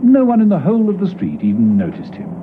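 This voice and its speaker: A man's voice: sits high, huge pitch swings, fast talker, noisy background. A man acting as the storytelling narrator of a classic spy radio drama, reading scripted narration. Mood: measured storytelling. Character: male narrator of a spy adventure. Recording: tinny, band-limited old radio broadcast, music behind.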